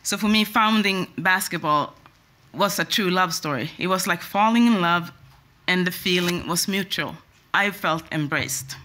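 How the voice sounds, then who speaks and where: A woman from Sweden speaks English with a Swedish accent in a personal talk at a conference. Her voice has a bright, sharp edge. She is in her forties and hesitates now and then, restarting her sentences. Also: on the low side, measured pace.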